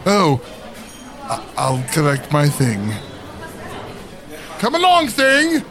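A man's voice: on the high side, huge pitch swings, takes it slowly, crowd noise, heavily acted. A man playing a gleeful, sinister undead librarian with a theatrical, macabre manner, hosting a campy horror audio drama. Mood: dim, eager.

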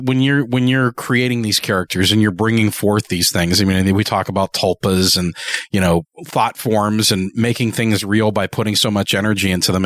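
A man's voice: lowish voice, fairly bright tone, dry recording. A man hosting a casual podcast interview, asking questions in a musing, reflective way. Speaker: podcast host interviewing guests.

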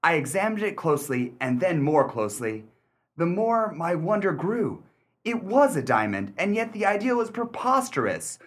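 A man acting as a shrewd, sardonic gentleman narrator in a mystery radio play, speaking with deliberate, theatrical emphasis and dry wit. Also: fairly high pitch, sing-song. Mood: amazed.

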